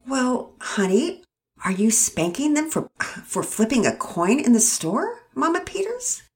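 A woman reading a storybook, voicing a calm father who asks gentle questions. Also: sits high, a little slow, voice pushed up.

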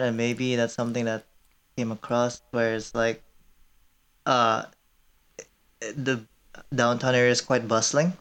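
A man's voice: medium pitch, a bit bright, clear, resonant tone. A man in his twenties from Indonesia, answering casually and tentatively as a student filmmaker in an interview. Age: 20s.

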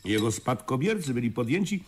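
An actor playing a blunt, cynical older uncle in a radio play, speaking in a measured, sardonic, matter-of-fact way. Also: male voice, mid-range voice, sing-song, slightly rough. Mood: dryly opinionated. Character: cynical, blunt old uncle, calmly ominous, pipe-loving smoker. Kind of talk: Polish radio play acting.

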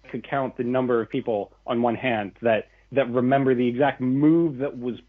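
A man's voice: medium pitch, steady tone, moderate pace. A young man guest on a podcast, talking enthusiastically and with self-deprecating humour. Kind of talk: casual podcast chat. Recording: tinny phone-like call audio.

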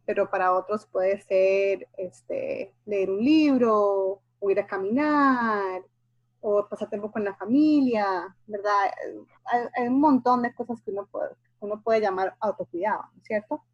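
A woman's voice: medium pitch, a little slow, no room sound, highs cut off. A woman therapist talking in a reflective, conversational way, often checking in with the listener. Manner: warm, reflective, frequently asks ¿verdad?. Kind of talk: casual discussion.

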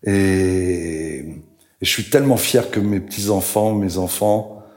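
An older man talking as a guest on a panel in an earnest, halting way, with frequent fillers. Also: sits low, darkish tone. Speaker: author guest. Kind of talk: panel conversation.

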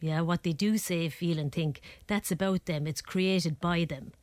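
A woman's voice: fairly low pitch, a little slow, a little mellow, dry recording. A woman, a psychotherapist guest on a radio interview, explaining earnestly while getting over a head cold. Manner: earnest, explanatory, frequent tag questions.

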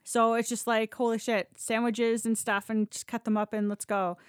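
A woman's voice: fairly high pitch, flat delivery, moderate pace, no room sound, clean studio sound. A Canadian woman telling stories casually on a podcast, candid and sweary, often acting out dialogue as she goes. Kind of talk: casual conversational storytelling.

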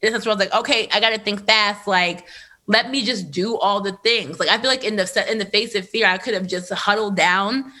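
Bright, animated woman in her thirties. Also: medium pitch, a little fast. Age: 30s. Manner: animated, filler-heavy, laughs often. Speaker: podcast host. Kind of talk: candid conversational storytelling. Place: Los Angeles area.

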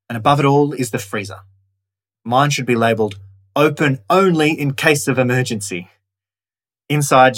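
A man with a slightly husky voice, narrating a scripted audio guide in a conversational way that instructs the listener directly. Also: medium pitch, a bit bright.

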